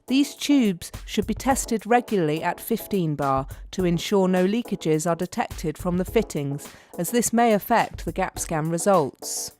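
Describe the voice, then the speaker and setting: A woman reading a scripted technical training narration in a measured, step-by-step instructional way. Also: on the low side, animated, darkish tone, soft background music.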